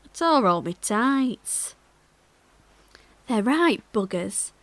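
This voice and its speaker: An actress playing a cheerful, gossipy young working-class millworker from Yorkshire, chatting confidingly in a monologue. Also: female voice, on the high side, drawn-out words, dry recording, exaggerated voice. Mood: chatty, cheerful. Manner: colloquial, confiding, animated. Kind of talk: dramatic monologue, chatty and conversational.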